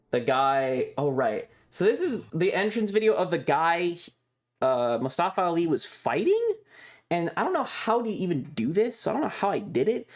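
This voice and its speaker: A man streamer talking casually and rambling through his material, halting often with stretched pauses. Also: a little high, vocal fry. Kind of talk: casual livestream chat over trip photos and videos.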